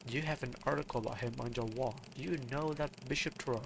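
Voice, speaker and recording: A man voicing a mysterious, grave caller who recruits a detective, speaking solemnly and in measured phrases. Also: medium pitch, gravelly, noisy background, lightly acted. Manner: solemn, measured, reading game dialogue aloud.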